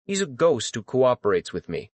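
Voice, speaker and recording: A man's voice: mid-range voice, sing-song, normal speed, dry recording, lightly acted. Man acting a smug, teasing young master. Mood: smug, amused. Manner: casual, mock-polite, taunting. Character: calm, teasing, coldly powerful young male master. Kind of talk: dramatized audiobook.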